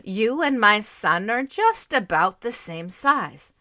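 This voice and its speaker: A woman playing a kindly, plain-spoken, motherly society lady in an audio drama, with a folksy, drawn-out delivery. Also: medium pitch, sing-song, bright, forceful tone, full character voice. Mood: kindly, frank.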